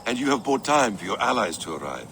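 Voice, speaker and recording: Composed war adviser. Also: male voice, mid-range voice, very animated, quick pace, fairly bright tone, slight background noise. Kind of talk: video game cutscene acting.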